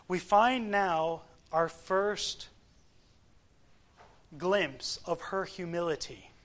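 A man preaching an expository sermon, explaining scripture in deliberate, emphatic short phrases. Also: fairly high pitch, fairly bright tone.

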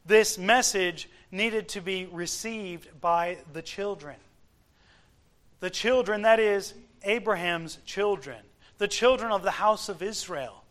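Guy preaching a bible sermon, kinda high voice, really slow, stresses short phrases. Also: a bit bright. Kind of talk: expository sermon with scripture quotation.

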